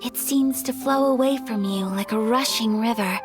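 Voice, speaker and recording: A woman's voice: on the high side, normal speed, natural voice. A woman voicing a refined, gracious young noblewoman who speaks in formal, courteous phrasing. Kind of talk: game dialogue. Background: game music.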